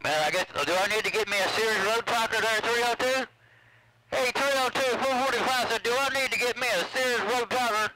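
A man's voice: very high voice, brisk, noisy background. A man from Mississippi chatting casually as a CB radio operator, folksy, repeating himself in radio slang. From Mississippi, USA.

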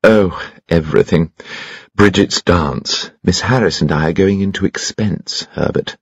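A genteel society mother, voiced by a man, soft and fond but worn out. The voice lifts gently above a low, husky base, and the recording sounds dull. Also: measured pace, no room sound, full character voice. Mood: gentle. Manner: soft, affectionate and tired. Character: genteel, weary aristocratic mother.

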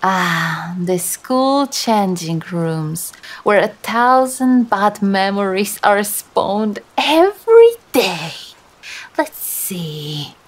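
A woman's voice: medium pitch, sing-song, full character voice. A woman playing a seductive demon who feeds on embarrassment, speaking in a teasing, theatrical way in ASMR roleplay style. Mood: mock sympathy. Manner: teasing, theatrical, slow and drawn-out.

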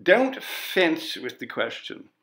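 Stern, lecturing storybook coroner, read by a man, bright and forceful, voice raised high. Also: very animated, takes it slowly, exaggerated voice. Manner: sharp, lecturing, indignant. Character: stern pompous male coroner questioning a witness.

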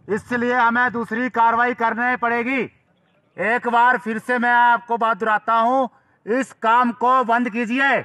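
A man's voice: very high voice, pushed and bright. A man making a stern, insistent army warning announcement through a megaphone outdoors in the mountains. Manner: stern, insistent, repeats himself. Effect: amplified through a megaphone.

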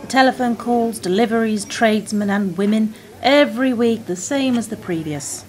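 A woman's voice: fairly high pitch, normal speed, natural voice. A woman playing an earnest, inquisitive admirer with a questioning manner in a radio play. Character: eager, inquisitive female fan with a dull job. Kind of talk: radio drama dialogue.

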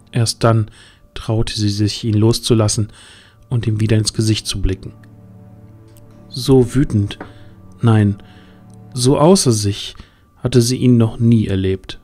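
A man narrating a scripted fantasy story in a measured, grave, dramatic way. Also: lowish voice, a little mellow.

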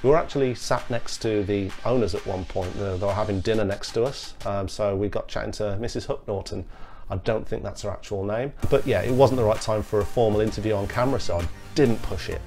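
A man's voice: lowish voice, brisk, a little mellow, faint music behind. A man, hosting a beer travel vlog in a chatty, wry way and swearing casually, talking to a camera in a small room.

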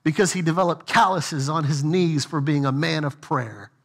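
A middle-aged man preaching a sermon as senior pastor, moving between quiet, low asides and raised, emphatic lines as he walks a church stage. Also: normal speed. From United States. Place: large church sanctuary with stage.

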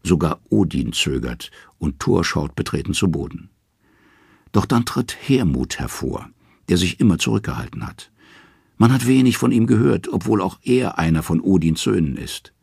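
A man narrating a mythic tale in dramatic, scripted storytelling style with a gravelly, husky voice. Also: sits low, very animated, normal speed, a little mellow, no room sound, studio quality.

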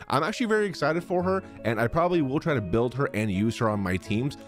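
A man's voice: medium pitch, animated, fast. A man giving casual streamer commentary into a close microphone. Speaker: streamer, content creator. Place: small home room, indoors. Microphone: close boom-arm studio microphone. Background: faint music.

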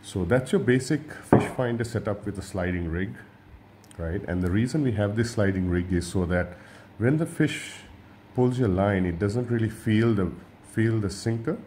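Male tutorial host from Sri Lanka, lowish voice, easygoing and repetitive delivery, camera mic at a table. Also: normal speed, a little hiss or hum. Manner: relaxed, repeats words and restarts often. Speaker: fishing channel host. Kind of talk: hands-on tutorial.

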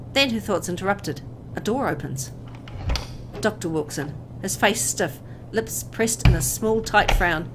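A woman hosts a horror game playthrough, reading the text theatrically in a medium-pitched voice. Faint noise sits behind her expressive narration. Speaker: gameplay video host. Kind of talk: dramatic read-aloud narration of a horror visual novel.

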